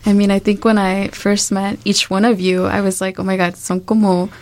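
A woman's voice: medium pitch, flat delivery, moderate pace, darkish tone. A young woman, born in Guatemala and raised in Chicago with Spanish as her first language, talks informally and with conviction in a discussion. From born in Guatemala, raised in Chicago, USA. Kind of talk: conversational panel discussion.

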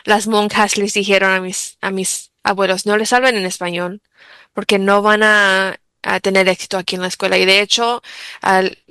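Bright, crisp-voiced bilingual woman guest. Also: medium pitch, flat delivery, normal speed, dry recording. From United States. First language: English. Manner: reflective, many fillers and restarts. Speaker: interview guest, bilingual mother. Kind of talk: interview answers.

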